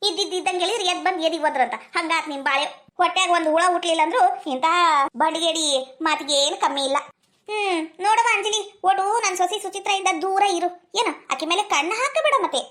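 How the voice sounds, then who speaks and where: Spiteful older mother-in-law played by a woman, very high-pitched, melodramatic, North Karnataka accent, for family drama. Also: fast, fairly bright tone. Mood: taunting. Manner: taunting, melodramatic. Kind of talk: amateur family drama skit.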